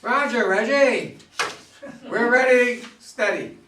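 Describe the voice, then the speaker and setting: Slow, high-voiced joking astronaut read by a man. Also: background noise. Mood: breezy, loose. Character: joking, scatterbrained male astronaut on a moonwalk. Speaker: amateur reader in a staged play reading. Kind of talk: amateur play reading. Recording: echoey room, distant microphone.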